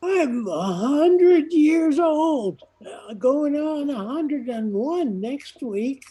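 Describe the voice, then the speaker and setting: A guileless old man of a hundred, acted by a woman, speaks very slowly in a high voice. The tone is soft and mellow, with the muddled manner of someone hard of hearing in a radio comedy. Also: animated, full character voice. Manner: slow, muddled elderly talk. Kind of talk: radio comedy play acting.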